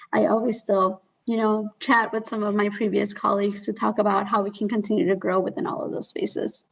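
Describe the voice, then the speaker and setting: A woman in her thirties talking on a video call from a small room at home, using headset earphones. Her voice sits at a medium pitch, and she speaks in an earnest, measured, conversational way. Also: gravelly, low-quality recording. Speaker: panelist. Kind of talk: panel discussion on video call.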